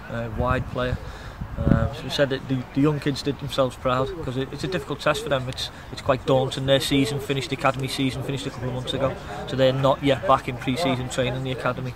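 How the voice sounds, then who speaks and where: A middle-aged man, a youth football coach giving a measured, matter-of-fact post-match interview outdoors. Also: medium pitch. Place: outdoors beside a grass football pitch.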